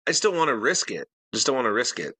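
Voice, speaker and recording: Bright-toned earnest man, dry close sound. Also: mid-range voice, big pitch swings, quick pace, husky, dry recording. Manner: earnest, detail-minded, with frequent restarts. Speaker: podcast co-host. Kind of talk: casual chat.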